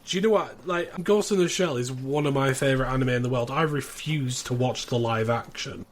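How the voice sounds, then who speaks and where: A man's voice: mid-range voice, fairly quick. A man hosting a casual panel chat about a show. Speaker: podcast host. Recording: clear background noise.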